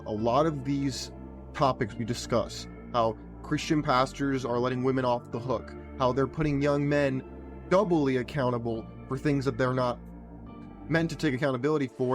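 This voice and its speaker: Hoarse man hosting a podcast over music. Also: medium pitch. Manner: provocative, sarcastic, lecturing. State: hoarse, voice partly gone. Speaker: podcast host. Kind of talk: opinionated podcast monologue.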